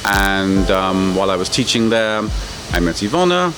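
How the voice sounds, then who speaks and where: A man's voice: low-pitched, big pitch swings, moderate pace, background noise. A middle-aged man with an English accent, answering interview questions in a chatty, self-deprecating storytelling way. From England.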